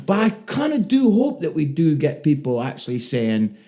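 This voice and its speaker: A man's voice: a little high, huge pitch swings, moderate pace, dark tone. A middle-aged man co-hosting a casual podcast with his son, teasing him and laughing often. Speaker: podcast co-host, father. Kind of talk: casual chat.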